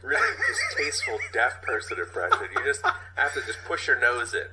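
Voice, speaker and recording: A man's voice: medium pitch, huge pitch swings, fairly quick, bright, forceful tone, slight background noise. A man co-hosting a podcast, trading casual comic banter. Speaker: podcast co-host.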